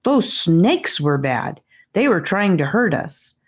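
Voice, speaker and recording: Woman playing an indignant young girl, animated pitch. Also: takes it slowly, heavily acted. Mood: frustrated, indignant. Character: frustrated, indignant young girl defending herself.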